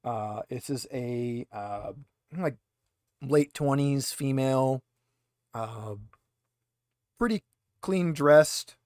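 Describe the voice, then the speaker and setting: A man narrating a tabletop game as game master, moving between read description and casual, halting explanation. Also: mid-range voice, darkish tone, no echo, studio quality.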